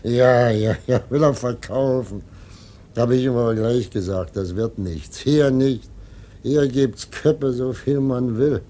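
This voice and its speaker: An actor playing a folksy, sly, unbothered innkeeper in a German radio play, husky and chatty, repeating himself. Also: male voice, mid-range voice, slow, dark tone, lightly acted. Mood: amused.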